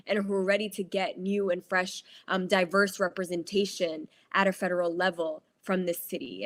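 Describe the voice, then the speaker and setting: A young woman from New City, speaking as a candidate at a forum in an earnest, fluent way with frequent "um" fillers. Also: medium pitch. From New York City. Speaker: political candidate. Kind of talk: campaign speech at a candidate forum.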